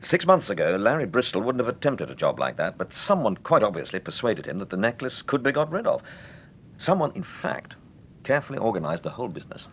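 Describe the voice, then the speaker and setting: Posh-mannered english detective, old radio play, composed but melodic, bit of rumble and noise. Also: male voice, big pitch swings, a little fast. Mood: explanatory. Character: urbane, probing gentleman detective, composed. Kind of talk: old-time radio detective drama acting. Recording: noisy radio recording with low rumble.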